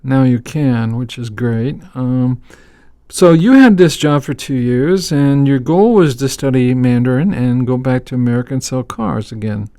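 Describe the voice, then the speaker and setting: A man from Texas hosts a laid-back interview and pauses to explain everyday expressions like a teacher. His voice is smooth and clear, recorded dry and close. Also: mid-range voice, animated, warm tone, no echo. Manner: teacherly, explains words and phrases. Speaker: podcast host. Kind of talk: casual interview conversation.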